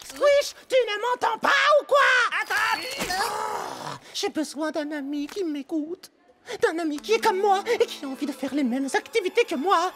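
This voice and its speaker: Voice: extremely high pitch, expressive, moderate pace. An actor voicing a nerdy, easily exasperated young microbe inventor in a French cartoon dub, pedantic and precise. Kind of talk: French-dubbed cartoon acting. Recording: cartoon music behind.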